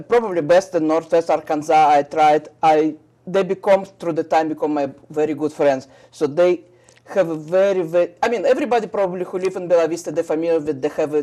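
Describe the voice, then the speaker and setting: A woman from Russia with a Russian accent, a shop owner chatting eagerly about her products in halting English with many fillers. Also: low-pitched, warm tone. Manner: enthusiastic, halting non-native English with fillers. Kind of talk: casual chat.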